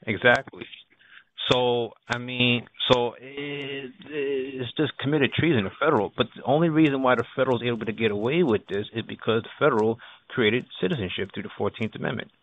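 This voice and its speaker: A man's voice: mid-range voice, a little fast. Man on a noisy, phone-band conference call. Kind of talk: informal political discussion on a group call. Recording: narrow phone line with background noise.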